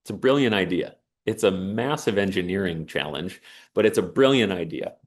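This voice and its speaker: A man's voice: low voice, expressive, normal speed. A man giving an earnest, emphatic inspirational talk and telling a personal story. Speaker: speaker on sauna and resilience.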